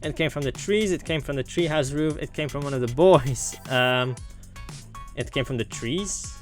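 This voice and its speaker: A man's voice: medium pitch, normal speed. A man doing casual let's play commentary on a game, playful and opinionated as he thinks aloud. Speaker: gaming let's player. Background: game music.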